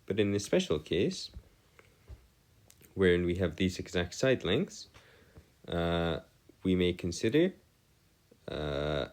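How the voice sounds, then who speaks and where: Low-voiced man, slow and deliberate math tutor. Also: very animated, a little mellow. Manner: formal, measured phrasing with deliberate pauses. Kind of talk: explanatory math lesson over worked diagrams.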